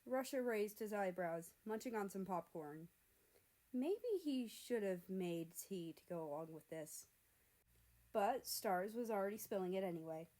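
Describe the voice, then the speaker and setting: A woman reading fiction aloud as a narrator, in an expressive scripted storytelling style. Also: mid-range voice, measured pace, low-quality recording. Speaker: fan fiction reader and narrator.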